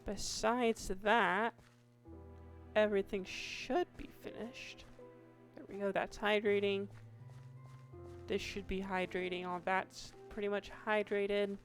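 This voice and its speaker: A woman with a hoarse voice giving casual, meandering commentary over her Minecraft gameplay, restarting sentences often. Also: medium pitch, level tone, faint music behind. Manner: slow, meandering, with many restarts and fillers. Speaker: Minecraft YouTuber.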